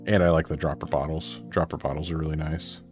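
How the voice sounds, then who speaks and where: A man chatting casually during a livestream while he paints miniatures, relaxed and rambling, often correcting himself. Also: extremely low pitch, quick pace, faint music behind.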